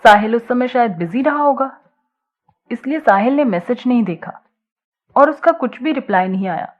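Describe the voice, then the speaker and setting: A woman narrating a scripted audio story in an expressive storytelling style, on a slightly muffled recording. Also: on the high side, normal speed.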